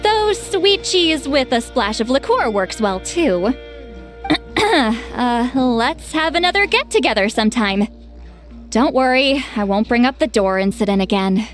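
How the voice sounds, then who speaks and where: A woman's voice: a little high, animated, unhurried, soft background music. A woman voicing a worldly, wry granny figure in a video game, conversational and faintly exasperated.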